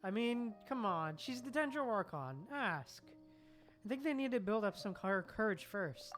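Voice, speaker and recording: A woman's voice: mid-range voice, animated, moderate pace, faint music behind. A woman streamer giving casual live commentary on a game, giggly and cooing fondly at the characters.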